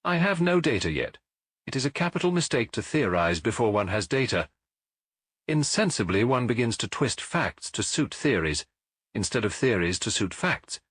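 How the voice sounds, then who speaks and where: A man voicing a keen, self-assured Victorian gentleman detective in an audiobook, with a British accent and an incisive, didactic manner. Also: mid-range voice, very animated, normal speed, slightly rough, no room sound, clean studio sound. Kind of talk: audiobook character voice.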